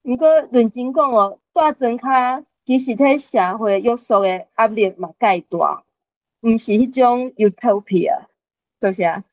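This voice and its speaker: A woman's voice: a little high, a little slow, a little mellow, dry recording, poor audio. A woman with a Taiwanese accent gives prepared personal commentary as a member of a reading group.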